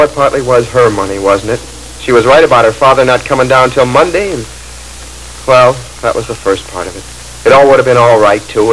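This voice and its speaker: A man's voice: mid-range voice, natural voice, low-quality recording. Young male clerk played by a man, 1940s radio drama: boyish, folksy, lovestruck narrator, faint hiss of old film. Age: young adult. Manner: folksy, hesitant, boyish phrasing. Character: earnest, lovestruck young drugstore clerk telling his story. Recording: hissy old film soundtrack.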